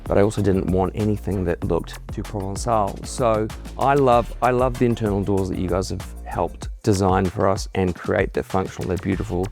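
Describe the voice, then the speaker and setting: Middle-aged New man, low and a bit gravelly, easygoing testimonial read, faint music bed. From New Zealand. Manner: candid, restarts words, warm praise. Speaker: homeowner giving a client testimonial. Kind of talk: relaxed testimonial interview. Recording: soft music underneath.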